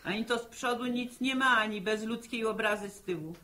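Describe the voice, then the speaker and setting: A woman's voice: on the high side, fairly quick, sharp tone. An actress playing a blunt, practical village mother, grumbling in earthy, folksy talk in a 1960s radio drama. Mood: mocking. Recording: 1960 archive radio drama recording.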